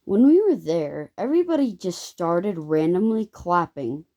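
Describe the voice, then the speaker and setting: A young vlogger telling a rambling story in a casual way, full of ums and self-corrections. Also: male voice, sits high, sing-song, a little slow, dark tone. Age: child.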